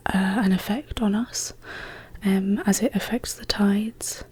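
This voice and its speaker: A woman speaking as an ASMR host in a hushed, whispered, casual question-and-answer style. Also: medium pitch, fairly even intonation, slightly rough. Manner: slow, hushed, gently paced delivery.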